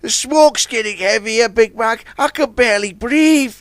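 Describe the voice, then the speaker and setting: A man's voice: very high-pitched, measured pace, a bit bright, no echo, pitched above the performer's own voice. A man voicing an eager young male tugboat who gets anxious and pleading. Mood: panicked.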